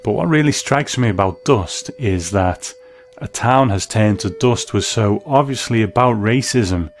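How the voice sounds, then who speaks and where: A man's voice: fairly low pitch, lively intonation, a little slow. A man hosting a review show, giving reflective commentary. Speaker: host. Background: faint music.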